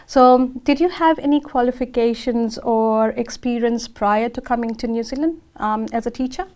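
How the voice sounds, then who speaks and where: A woman hosts a TV interview and asks her guest questions in a friendly way. Her voice sits fairly high, and she reacts warmly to each answer before moving on to the next question. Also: normal speed. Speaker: TV show host.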